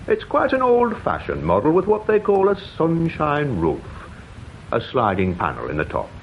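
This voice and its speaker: Pedantic police sergeant, wordy, deferential, highly animated pitch, muffled hissy radio play recording. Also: male voice, moderate pace. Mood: deferential, pleased. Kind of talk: radio drama acting.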